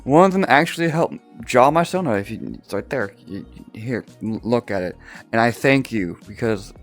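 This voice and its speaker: A man's voice: mid-range voice, lively intonation, moderate pace, faint music behind. A young man, just woken up and groggy, casually telling a personal story as a vlogger, laughing often and restarting his words. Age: young adult.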